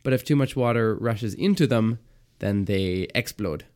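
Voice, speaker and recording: A man with a raspy voice co-hosting a comedy podcast, chatty and explaining things with lots of fillers. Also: fairly low pitch, normal speed, a little mellow. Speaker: podcast co-host. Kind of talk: casual comedic chat.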